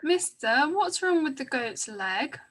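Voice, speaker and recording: Excitable small farm girl, adult woman acting, very high, animated, drawn-out words, dry sound. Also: dry recording, heavily acted. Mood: worried. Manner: eager, emotional. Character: excitable, goat-loving little farm girl. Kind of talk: amateur dramatic reading of a myth.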